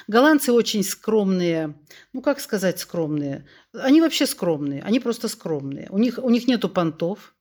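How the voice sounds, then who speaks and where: A woman's voice: mid-range voice, moderate pace. A woman around sixty from Russia, a podcast guest telling her story frankly and with animation, often acting out remembered dialogue. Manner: frank, animated, tells anecdotes with quoted dialogue. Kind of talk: candid conversational storytelling.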